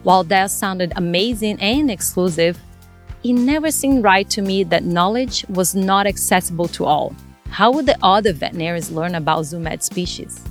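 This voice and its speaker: A Brazilian woman in her forties hosts her podcast in accented English, speaking in a measured, earnest way. Soft music plays faintly underneath. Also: medium pitch. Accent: Brazilian Portuguese. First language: Portuguese. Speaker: podcast host. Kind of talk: scripted podcast intro and casual chat.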